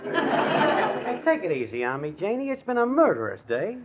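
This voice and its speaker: A man's voice: sits high, wildly swooping pitch, normal speed. Swooping, slangy wise-guy boyfriend. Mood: breezy. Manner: slangy, confidently ignorant. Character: breezy, shiftless city wise-guy boyfriend, cheerfully ignorant. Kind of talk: 1940s radio comedy acting. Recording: muffled noisy old radio, audience.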